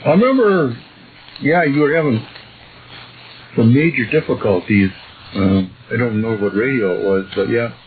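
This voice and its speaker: A man's voice: medium pitch, moderate pace, pauses often, phone-quality sound. A man making casual chat as a CB radio operator. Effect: radio transmission. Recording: weak, noisy, band-limited radio signal.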